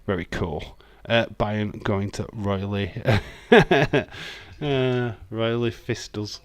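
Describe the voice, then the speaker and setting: A man with a broad Yorkshire accent chatting casually on a livestream, reading out viewer comments, swearing and filling pauses with lots of "uh". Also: lowish voice, no echo. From Yorkshire, England. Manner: chatty, reads viewer comments aloud, swears, many ums. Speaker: livestream host. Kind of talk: casual live watch-along chat.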